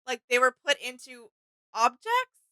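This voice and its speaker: High, very bright-voiced woman, casual. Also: very animated, unhurried, dry recording. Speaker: reaction video co-host. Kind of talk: casual reaction chat.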